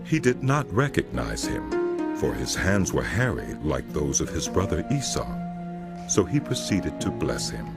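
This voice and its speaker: A man's voice: sits low, sing-song, measured pace. A man narrating scripture as a dramatic, scripted audio production. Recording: loud music behind the voice.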